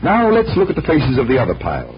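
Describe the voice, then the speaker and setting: A man's voice: mid-range voice, wildly swooping pitch, quick pace. An actor playing a confident, genial magician-detective who explains things like a showman, in vintage radio drama style. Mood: explanatory, genial. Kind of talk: 1940s radio drama acting. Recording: muffled old radio recording with hiss.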